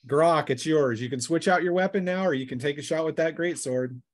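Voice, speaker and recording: A man's voice: on the high side, fairly quick. A man acting as game master, narrating a tabletop game in plain, colloquial play-by-play.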